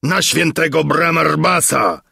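A man's voice: fairly high pitch, slow pace, vocal fry, pushed and bright, slightly rough, no echo, clean studio sound, voice pushed up, heavily acted. A man voicing a ferocious, fearless bearded warrior in a storybook, bellowing with swaggering bravado. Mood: blustering bravado. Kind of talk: theatrical fairy-tale storybook reading.

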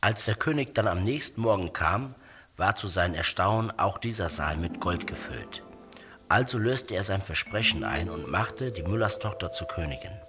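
Deep-voiced, dramatic male storyteller. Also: moderate pace, over music. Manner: dramatic, expressive. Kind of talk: scripted fairy-tale narration for children.

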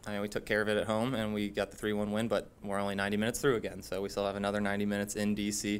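A young man, a football player, talking calmly and matter-of-factly at a postgame press table. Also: lowish voice, rapid-fire, slight background noise. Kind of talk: postgame interview. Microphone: table microphone.

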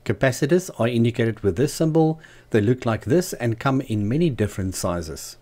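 A man narrating a scripted how-to tutorial as the channel's host, in a measured, explanatory manner. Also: medium pitch, animated, dark tone. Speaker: host of a DIY loudspeaker channel.

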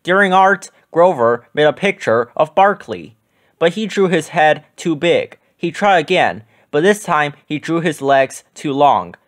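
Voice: mid-range voice, expressive, measured pace. A storyteller reading a children's picture book aloud as scripted narration.

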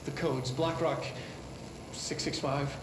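An actor playing an awkward middle-aged American scientist presenting a research film in a game, halting and professorial. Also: male voice, fairly high pitch, fairly even intonation. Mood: earnest, awkward. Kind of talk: retro educational film presentation. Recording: echoey old film audio, clear noise.

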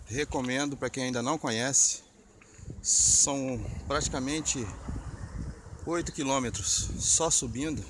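Brazilian male cycling vlogger, thirties, mid-pitched, casual delivery, noisy roadside recording. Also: fairly even intonation, measured pace. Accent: Brazilian Portuguese. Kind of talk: casual vlog commentary. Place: outdoors on rural mountain roads. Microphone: handheld camera at arm's length. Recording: clear outdoor noise, phone or camera mic.

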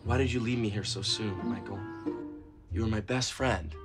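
A man's voice: lowish voice, moderate pace, over music, natural voice. An actor playing a young aspiring actor who is dramatic and easily exasperated with his friends. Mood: grieving, theatrical.

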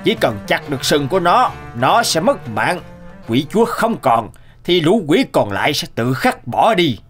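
A man voicing a self-assured male sorcerer who speaks slowly and confidently in a cartoon. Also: on the high side, big pitch swings, a bit bright, soft background music. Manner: slow, confident, incanting.

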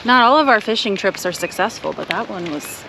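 A woman's voice: mid-range voice, animated, a little fast, creaky, background noise. A young woman chatting casually and cheerfully while vlogging a family camping trip outdoors, laughing easily. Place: outdoors at a beach and park. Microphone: action camera.

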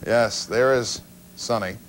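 An older man hosting a call-in TV show, chatting casually in a folksy, dryly joking way. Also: mid-range voice. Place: small TV studio. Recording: noisy old TV broadcast tape.

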